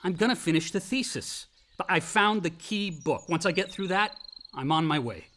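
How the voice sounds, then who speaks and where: An actor playing a volatile, wounded college-age son in a radio play, with a sardonic, defensive American delivery. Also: male voice, high voice, normal speed, a bit bright, slight background noise. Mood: sullen, defensive. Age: young adult. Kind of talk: naturalistic radio play acting.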